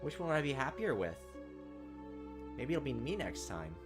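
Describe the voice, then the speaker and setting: A man's voice: mid-range voice, sing-song, normal speed, over loud music. A man hosting a gaming video, reading visual novel narration aloud dramatically with casual asides.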